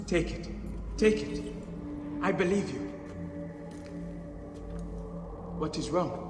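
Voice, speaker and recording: A man's voice: sits high, lively intonation, unhurried. An actor playing a desperate young orphaned man in a video game, pleading and earnest. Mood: wary, sorrowful. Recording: echoey hall, music behind.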